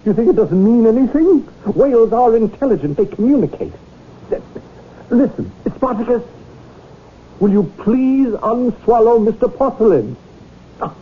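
A man's voice: very high voice, a little hiss or hum, full character voice. An actor playing a pompous male animal lover who pleads grandly in an old radio drama. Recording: tinny lo-fi radio broadcast.

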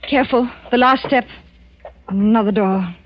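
An actress playing a frightened, tense wife in a 1940s radio suspense drama, speaking in a halting, dramatic way. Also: female voice, a little high, background noise, natural voice. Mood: tense, grave. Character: frightened, tense wife of a murderous doctor in wartime France. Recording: dull old 1940s radio recording with music.

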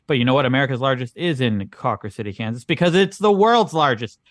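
A man's voice: medium pitch, expressive, quick pace, no echo, clean studio sound. A man co-hosting a comedy podcast, playing the skeptical straight man who corrects his partner. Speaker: podcast co-host. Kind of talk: casual comedic banter.

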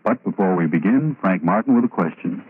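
A man's voice: low voice, lively intonation, fairly quick, slightly rough. A man hosting in 1940s radio style, giving a scripted introduction. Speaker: radio host. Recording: muffled, noisy old radio recording.

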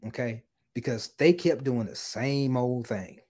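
An earnest man speaking as a guest on a casual podcast, slowing down to stress his points. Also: medium pitch, mellow.

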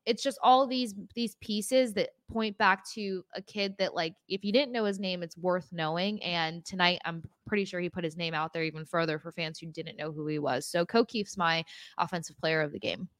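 A woman's voice: on the low side, fairly even intonation, normal speed, fairly bright tone, no room sound. A woman co-hosting a sports podcast, giving casual, conversational analysis and reaction with emphatic points.

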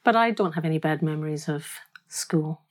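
Woman, podcast guest, laughs often, low voice. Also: fairly quick. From Scotland. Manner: warm, laughing storyteller. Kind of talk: casual interview chat.

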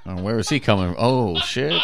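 A man's voice: lowish voice, lively intonation, moderate pace, creaky, over a noisy crowd. A man co-hosting a podcast in casual banter, crude and swearing freely, laughing often. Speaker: podcast co-host.

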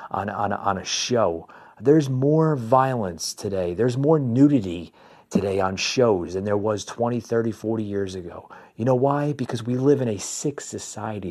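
Low-pitched American man, halting political podcaster. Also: a little mellow. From New Jersey, United States. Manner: repetitive, halting, opinionated, addressing listeners as folks. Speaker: conservative podcast host. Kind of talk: unscripted political commentary.

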